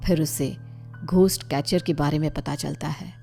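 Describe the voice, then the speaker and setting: Low, even-voiced woman narrator. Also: normal speed, gravelly. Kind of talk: scripted story narration. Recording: music behind the voice.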